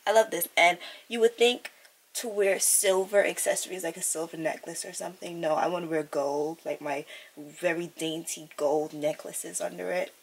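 A woman's voice: on the low side, steady tone, normal speed. A young woman in her twenties chatting casually to viewers in a vlog, laughing often, recorded on a camera mic at arm's length. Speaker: vlogger. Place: small bedroom and outdoors on city streets. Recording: camera audio with clear background noise.

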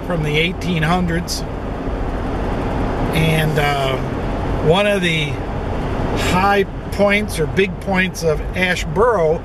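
A man's voice: fairly high pitch, fairly even intonation, slow, pauses often, recorded from a distance. A man in his fifties talking casually to the camera from inside a car, rambling in an easygoing way with lots of fillers. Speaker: vlogger. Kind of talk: casual travel vlog narration. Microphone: camera on the dashboard. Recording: road and car noise.

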